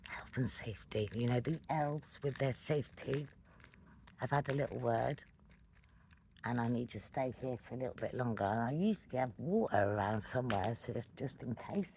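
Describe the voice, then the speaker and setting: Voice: mid-range voice, normal speed, a little mellow, a little hiss or hum. A middle-aged person telling a casual, meandering personal story in a playful way, as a late-night fireside ramble. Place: outdoors at night beside a fire.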